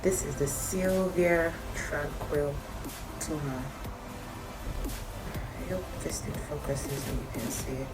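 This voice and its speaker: A woman with a croaky morning voice, chatting to camera in a skincare vlog and repeating her advice for emphasis. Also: deep voice, slow pace, long pauses, over loud music, poor audio. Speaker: skincare vlogger. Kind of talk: casual talk to camera.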